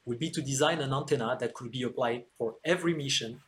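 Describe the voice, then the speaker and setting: Man, French accent, engineer guest, earnest, frequent fillers, mid-pitched. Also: fast talker, fairly bright tone. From France. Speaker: antenna engineer, podcast guest. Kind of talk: interview answers, explanatory.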